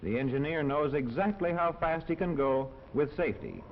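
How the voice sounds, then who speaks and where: A man narrating a 1940s educational film in a measured, instructional manner, on a muffled old soundtrack. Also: a little high, big pitch swings, slight background noise. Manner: clear, measured, instructional delivery.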